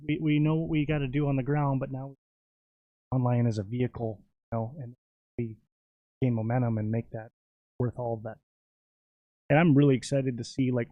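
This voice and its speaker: A man's voice: medium pitch, no echo, dull audio. A man from Minnesota, a podcast guest, chatting in a laid-back, rambling way with lots of fillers. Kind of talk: casual podcast chat.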